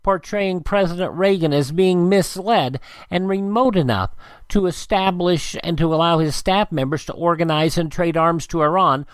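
A man's voice: fairly high pitch, moderate pace, clear, resonant tone, dry recording. A man hosting a radio show, reading out dated items like an announcer and adding short asides. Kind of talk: radio narration of this day in history.

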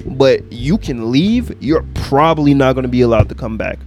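A young man, a podcast co-host telling loud, animated stories full of slang. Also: mid-range voice, moderate pace, music in the background. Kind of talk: casual chat.

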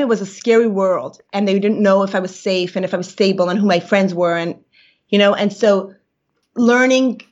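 A woman in her thirties from New York, whose first language is Yiddish, telling her personal story in an interview, reflective and searching for words with many fillers. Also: mid-range voice. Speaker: guest. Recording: dull lo-fi recording.

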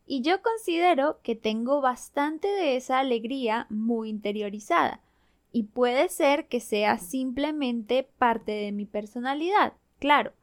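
Young Colombian woman, slightly high voice, clear and measured podcast host narrating cheerfully. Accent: Colombian, Bogotá. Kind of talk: scripted Spanish-learning podcast narration.

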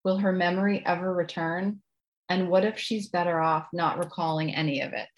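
A woman's voice: on the low side, steady tone, moderate pace, dull audio. A woman speaking as a guest author, polite and modest in manner. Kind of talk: casual interview chat, then reading own writing aloud.

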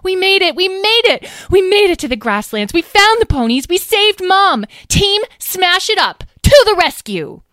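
A woman voicing an eager, excitable young dragon in a storybook reading. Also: medium pitch, brisk, dry recording, clean studio sound, voice pushed up, natural voice. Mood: overjoyed. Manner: bubbly, shouting with joy.

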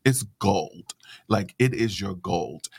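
A man hosting a casual interview, chatty and encouraging, often affirming his guest with quick backchannels. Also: deep voice, gravelly, breathy edge, no echo. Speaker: host.

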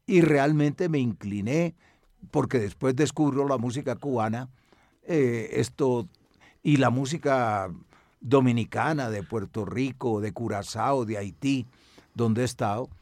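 An older man from Colombia with a Colombian accent answers interview questions reflectively, in measured, articulate and rather formal language. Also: medium pitch, a little mellow, no echo. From Medellín, Colombia. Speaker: cultural radio broadcaster and music researcher.

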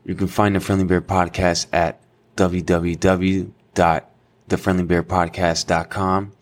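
A man reads the scripted outro of his podcast in a steady, even delivery. His voice is very deep and stays flat in pitch. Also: unhurried, a little hiss or hum. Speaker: podcast host.

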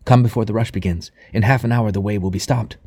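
A man reading the part of an excited, commanding husband in a novel, speaking in brisk, urgent, clipped phrases. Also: lowish voice, husky, no room sound, clean studio sound.

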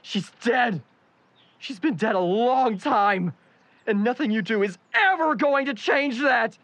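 A man's voice: very high voice, expressive, takes it slowly, fairly bright tone, no echo, heavily acted. A young man acting a troubled, bitter son in a supernatural audio drama. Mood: furious, bitter.

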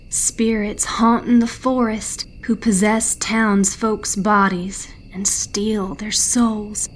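A woman playing a folksy village local in an audio drama, welcoming newcomers and warning them in a conspiratorial, hushed manner. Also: mid-range voice. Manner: folksy, conspiratorial, dropping into hushed near-whisper. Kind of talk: in-character fiction podcast storytelling.

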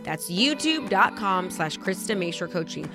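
A woman quickly delivering a channel subscription promo. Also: on the low side, sing-song, over music. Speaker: channel host. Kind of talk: promo outro.

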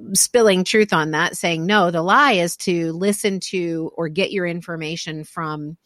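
Woman, podcast host, fairly low voice, dry close sound, affirming, reflective, restarts sentences. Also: unhurried, a bit bright, no echo. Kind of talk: conversational interview.

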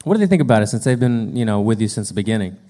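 A man's voice: on the low side, animated, rapid-fire, mellow. A man co-hosting a podcast, casually putting questions to the others. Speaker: podcast co-host.

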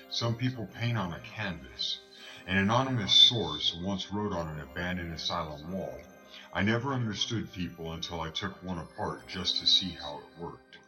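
A man's voice: low-pitched, sharp tone, poor audio. A man narrating a horror film trailer in an ominous announcer voice over a music bed. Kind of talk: dramatic movie trailer voice-over.

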